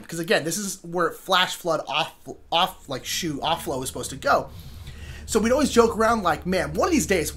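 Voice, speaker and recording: A young American man telling a personal story casually, in an animated, informal way with joking asides. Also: fairly high pitch, husky. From Sacramento, California. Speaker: storyteller, show host.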